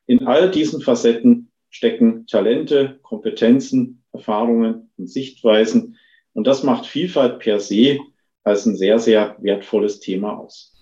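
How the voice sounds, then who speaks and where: Very mellow man explaining in echoey room. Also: mid-range voice. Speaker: expert interviewee, institute director. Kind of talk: explanatory interview answer. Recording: dull, echoey room recording.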